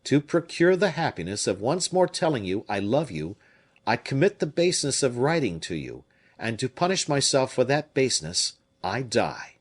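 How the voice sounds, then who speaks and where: A man's voice: medium pitch, big pitch swings, normal speed, slightly rough, pitched above the performer's own voice. A man reading an audiobook, voicing a heartbroken young male nobleman who speaks solemnly and earnestly. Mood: despairing.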